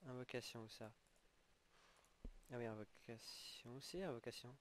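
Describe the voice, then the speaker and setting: A young man with a head cold, sniffling, casually commenting as he plays an online game and reacting excitedly to what he gets. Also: mid-range voice, unhurried, slight background noise. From French-speaking. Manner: chatty, exclaiming at in-game rewards. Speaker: gaming channel host.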